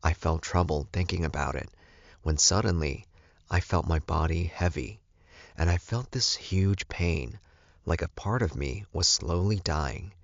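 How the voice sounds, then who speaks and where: A man's voice: low voice, no room sound, dull audio. A man reading scripted first-person fiction as an audiobook narrator.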